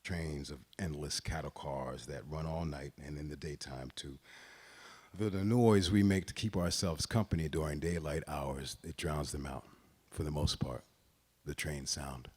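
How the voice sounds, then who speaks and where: A man reads a literary story aloud in a deliberate, expressive way, pausing between phrases. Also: a bass voice, breathy edge. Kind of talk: dramatic literary reading.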